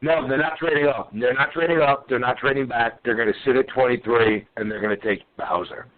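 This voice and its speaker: A man, co-hosting a casual sports podcast, animated, swearing and tripping over his words. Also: mid-range voice, fairly quick. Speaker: podcast co-host. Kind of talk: casual sports call-in chat. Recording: tinny phone-like line.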